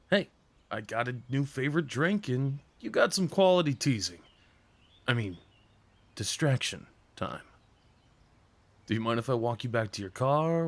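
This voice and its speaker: A man acting a big, sweet, slightly nervous date in an ASMR roleplay, with playful teasing, boyish enthusiasm and soft hesitations. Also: medium pitch, dry recording. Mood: sweetly nervous. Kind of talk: ASMR roleplay audio drama, one-sided conversation.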